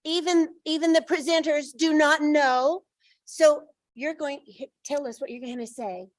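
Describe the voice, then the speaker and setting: A woman presenting at a school event in an excited, theatrical way that builds suspense. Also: sits high, a little slow, no room sound, poor audio.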